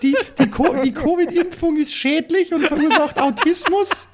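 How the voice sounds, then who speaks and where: A man voicing a preachy, gossipy Bavarian esoteric mum in falsetto with a Bavarian accent. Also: normal speed. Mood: earnest, preachy. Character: naive Bavarian esoteric anti-vaccine mum in squeaky falsetto.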